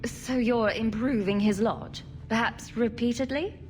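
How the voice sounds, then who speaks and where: A woman playing a composed, skeptical adventuring heroine in a video game, measured and questioning. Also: a little high, steady tone, soft background music, close to an everyday voice. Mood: probing.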